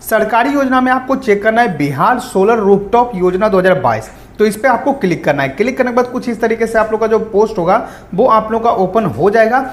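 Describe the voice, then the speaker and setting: A man talks viewers through a screen tutorial at a quick clip. He explains step by step and often restates things. The voice-over echoes as if recorded in a bare room. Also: high-pitched, expressive. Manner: repetitive, explanatory, reads out then paraphrases. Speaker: online tutorial presenter. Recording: roomy, echoing voice-over.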